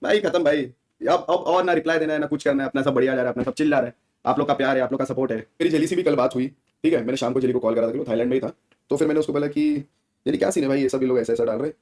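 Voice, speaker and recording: Very fast-talking male streamer. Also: mid-range voice, darkish tone. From India. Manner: conversational, slangy, seeking agreement from listeners. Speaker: gaming streamer. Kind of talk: casual livestream rant addressed to viewers.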